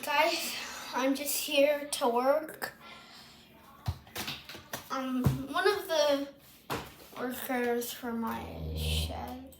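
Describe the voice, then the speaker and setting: A young man playing an anxious shed worker in an amateur horror roleplay, halting and pleading, on a noisy amateur microphone. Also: very high-pitched, sing-song, echoey room, full character voice. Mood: nervous, pleading.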